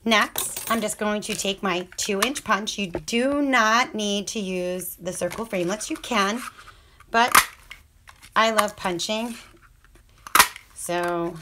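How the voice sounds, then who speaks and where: A woman's voice: mid-range voice, slow pace. A woman with a scratchy voice demonstrating paper crafts, chatty and apologetic, often murmuring half to herself as she works. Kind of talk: casual hands-on craft tutorial.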